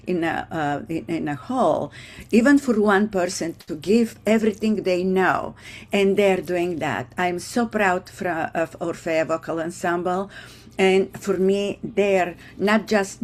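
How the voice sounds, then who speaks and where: An older woman from Bulgaria with a Bulgarian accent, a vocal ensemble director, talking haltingly in an interview and often pausing to search for words. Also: mid-range voice. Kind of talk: casual interview talk.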